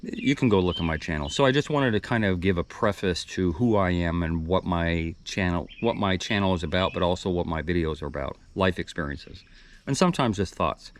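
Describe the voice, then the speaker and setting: A man's voice: sits low, brisk, slight background noise. A man in his sixties giving a rambling, reflective monologue for his own video channel, with frequent restarts and asides. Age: 60s. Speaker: video blogger.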